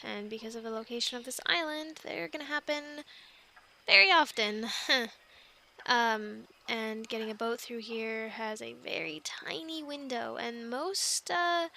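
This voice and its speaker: Bright, high-voiced plucky young castaway played by a woman. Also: gravelly, slight background noise, full character voice. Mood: matter-of-fact. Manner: chatty, animated, halting with stammered asides. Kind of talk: ASMR roleplay, conversational one-sided dialogue.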